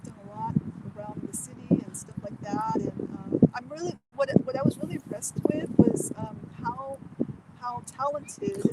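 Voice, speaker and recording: A woman's voice: very high voice, big pitch swings, moderate pace, vocal fry. A woman hosting a live interview outdoors, bubbly and chatty, with many fillers. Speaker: host. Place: outdoors by a bay. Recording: loud outdoor street noise.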